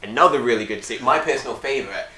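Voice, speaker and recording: A man's voice: mid-range voice, fast, bright, forceful tone, far from the mic. A man chatting casually with friends as one of a panel. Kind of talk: casual chat among friends. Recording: echoey room sound.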